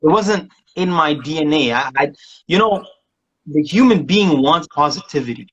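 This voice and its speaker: A man's voice: on the high side, lively intonation, normal speed, breathy edge, highs cut off. A man from Somalia, a music producer chatting casually as a guest and rambling with many restarts and laughs. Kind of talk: casual interview chat.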